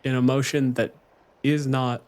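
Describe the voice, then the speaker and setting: A man's voice: medium pitch, little pitch movement, unhurried, a little mellow, smooth. A man speaking as game master, running a tabletop roleplaying game in conversational narration.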